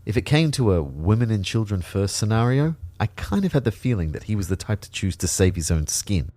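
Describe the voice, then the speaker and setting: An actor playing an uneasy, observant narrator in a first-person audio drama, speaking in a reflective, measured way. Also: male voice, lowish voice, very animated, warm tone, no room sound. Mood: wary.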